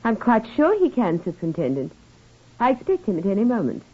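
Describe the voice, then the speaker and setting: A woman's voice: mid-range voice, huge pitch swings, a little fast, slightly rough. An actress playing a genteel, guarded woman who manages a farm, speaking carefully and insistently in an old radio drama. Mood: guarded, uneasy. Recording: muffled, band-limited vintage radio recording.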